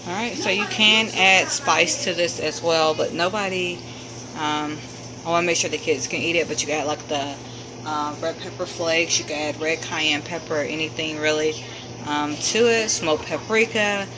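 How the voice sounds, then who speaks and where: A woman's voice: low-pitched, normal speed, noisy background. A woman chatting casually through a home cooking video, walking through each step with frequent ums. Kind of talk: casual cook-along narration. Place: home kitchen at the stove.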